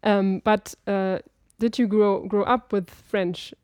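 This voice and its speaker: A woman hosting a relaxed interview, asking curious, short follow-up questions. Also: medium pitch, takes it slowly, smooth, no room sound, studio quality. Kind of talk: conversational interview.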